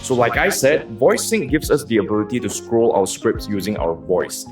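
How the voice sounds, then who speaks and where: A man's voice: fairly low pitch, big pitch swings, normal speed, soft background music. A man with a Malaysian accent reviewing gear from a script, dropping wry joking asides. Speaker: tech reviewer.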